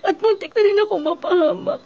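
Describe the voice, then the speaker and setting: High-pitched Filipina radio drama heroine. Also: female voice, a little fast, a little mellow, lo-fi sound. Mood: remorseful. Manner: emotive, pleading. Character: frightened superstitious older sister, tearful, earnest.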